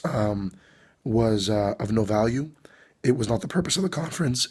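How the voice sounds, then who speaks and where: Hoarse priest, halting and hesitant. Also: male voice, lowish voice. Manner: hesitant, many ums and uhs. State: hoarse, losing his voice. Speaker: Orthodox priest. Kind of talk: video blog address. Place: abroad on a trip.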